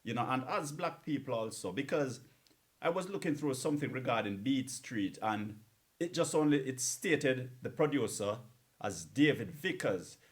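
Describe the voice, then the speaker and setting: A male DJ chats between tracks in an earnest, personal way. He leans on repetition to press his points home, and his voice sits at an ordinary middle pitch. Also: lively intonation, normal speed. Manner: earnest, repeats words for emphasis. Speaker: radio DJ. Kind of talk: casual talk between songs.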